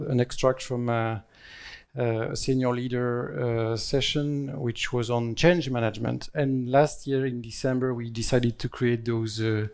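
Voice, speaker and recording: A man co-presents at a business conference. His voice sits at an ordinary pitch and barely rises or falls as he introduces figures and a video in a plain, matter-of-fact way. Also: darkish tone, clean tone.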